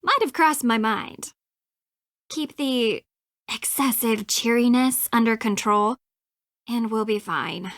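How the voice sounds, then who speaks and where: A woman's voice: a little high, takes it slowly, pauses often, fairly bright tone, no echo, studio quality. An actress voicing a snippy, defensive young American woman in an adventure game, sarcastic, clipped and emphatic. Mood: wry, guarded. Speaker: video game character, voice actress. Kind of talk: acted dialogue in an adventure game.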